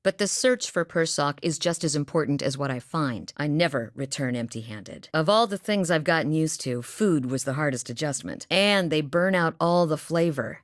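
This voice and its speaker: Casting: low-voiced, blunt northern huntress for a game, deadpan, dry close-mic booth. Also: female voice, moderate pace, few pauses, dry recording. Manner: dry humor, matter-of-fact. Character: steady, blunt woman hunter from a far northern village. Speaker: game character voice lines. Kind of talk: studio game voice acting. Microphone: close studio mic.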